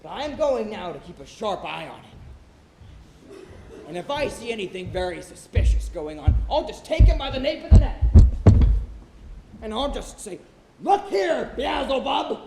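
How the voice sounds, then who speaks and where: A man on stage playing a boastful, excitable sailor with comic, blustering bravado. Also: high-pitched, moderate pace, long pauses. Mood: defiant, blustering. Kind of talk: theatrical stage acting. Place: college theatre stage. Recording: roomy auditorium stage recording, some hiss.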